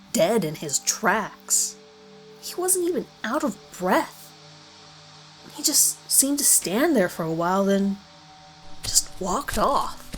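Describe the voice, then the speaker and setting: A woman reads a scripted first-person horror story in a measured, deliberate way with dramatic pauses. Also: mid-range voice. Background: background music.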